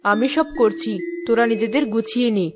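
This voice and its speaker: Woman voicing a high-voiced cartoon mother. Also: a little fast, lightly acted. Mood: caring, busy. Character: caring, chiding mother of two grown daughters. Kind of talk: cartoon story voice acting. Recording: music behind the voice.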